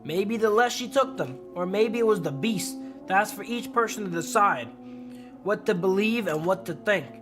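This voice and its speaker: A gentle old village shopkeeper as a man reads him from a visual novel. He is hospitable and generous in manner. Music plays clearly behind him. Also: sits high, animated, moderate pace. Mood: musing. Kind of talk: visual novel dialogue read aloud. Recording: music under the voice.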